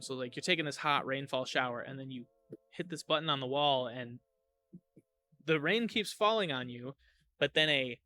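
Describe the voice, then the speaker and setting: A man in his thirties from Michigan with a bright, slightly high voice, chatting as a podcast guest. He tells stories in an animated, loose way, full of "like" and casual swearing, with faint music under him.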